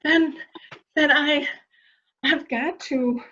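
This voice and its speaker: A woman's voice: sits high, fairly even intonation, very slow, pushed and bright, full character voice. A woman playing a fretful, intense, protective member of a household in a melodramatic mystery reading, in slightly dull sound. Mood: pleading. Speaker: amateur reader, library staff. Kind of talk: dramatic reading of a murder mystery.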